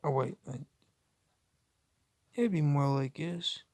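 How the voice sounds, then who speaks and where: Guy doing reaction commentary, kinda higher voice, blunt n sweary, rambles a lot, really dry sound. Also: slow, darkish tone, no echo. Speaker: reaction video YouTuber.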